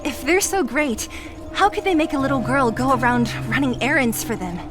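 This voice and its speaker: A woman's voice: sits high, lively intonation, moderate pace, music in the background. A woman voicing a blunt, suspicious young resistance fighter in a video game, with a direct, challenging manner. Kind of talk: scripted video game cutscene dialogue.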